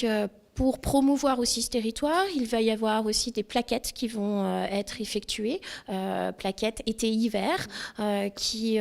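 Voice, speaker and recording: A woman with a fairly high voice and a strong creak in it. She explains things haltingly in an interview, pausing and hesitating between short phrases. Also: a little mellow. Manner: halting, piecemeal delivery with many hesitations. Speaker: tourist office representative.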